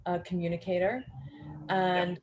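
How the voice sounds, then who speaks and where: A woman with a Scottish accent, a participant on a video call, giving appreciative and somewhat halting feedback. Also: fairly low pitch, steady tone, rough recording. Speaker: workshop participant. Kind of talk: casual chat.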